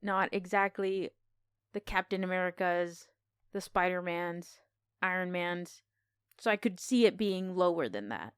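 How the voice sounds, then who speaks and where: A woman's voice: lowish voice, unhurried, clean tone, no room sound, clean studio sound. A woman co-hosting a podcast, talking casually as she gives her review of a film.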